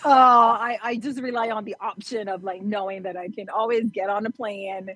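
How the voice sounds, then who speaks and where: A woman's voice: medium pitch, fairly even intonation, normal speed, fairly bright tone, no echo. A woman from Barbados, a fitness trainer, telling her personal story casually as a podcast guest.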